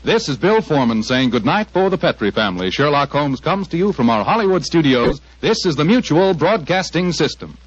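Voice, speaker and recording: A man's voice: on the high side, very animated, fairly quick, few pauses, no echo. Dry, dull-sounding old radio announcer, male. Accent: American. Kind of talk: 1940s radio sponsor sign-off. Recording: dull vintage radio broadcast.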